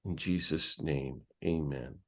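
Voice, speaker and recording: A man, a priest, offers a solemn spoken prayer in a measured way. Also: extremely low pitch.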